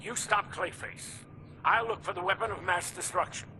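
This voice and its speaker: An actor playing an earnest, guarded police ally in a video game, grave in manner. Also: male voice, high voice, moderate pace, close to an everyday voice. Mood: urgent. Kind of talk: video game cutscene acting. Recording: clear background noise.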